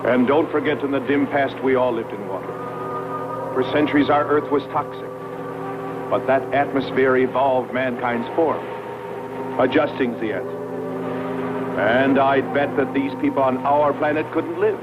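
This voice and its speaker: An actor playing an eager, argumentative space explorer in a persuasive, musing manner, in dubbed 1960s science fiction film style. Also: male voice, medium pitch, measured pace, pauses often, lightly acted. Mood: earnest, persuasive. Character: eager, argumentative male space explorer convinced of alien intelligence. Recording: dull old film soundtrack, loud music.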